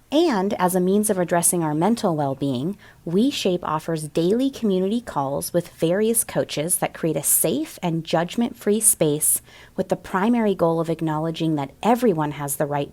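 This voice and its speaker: A woman company founder giving an earnest, measured scripted sponsor message. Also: on the low side, a little mellow. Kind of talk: scripted ad read.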